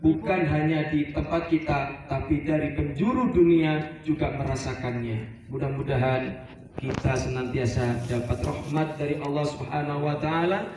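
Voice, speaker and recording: A man from Surabaya preaching a relaxed Eid sermon to a housing community, rambling at times and mixing in Arabic prayer phrases. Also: fairly high pitch, normal speed, background noise. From Surabaya, Indonesia. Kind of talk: Eid sermon to a residential congregation. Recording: very echoey, heard from a distance over a PA.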